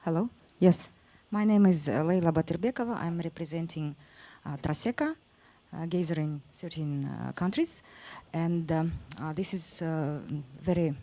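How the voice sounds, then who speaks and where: Hesitant low-voiced woman from Kazakhstan. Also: moderate pace, dark tone. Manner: hesitant, searching for words. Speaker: audience member asking a question. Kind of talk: question from the floor.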